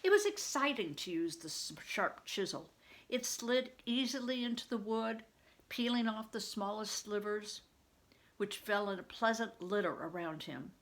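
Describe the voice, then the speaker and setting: An older woman reading a story aloud like a teacher, pausing to explain and put questions to the listener. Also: medium pitch, expressive, poor audio.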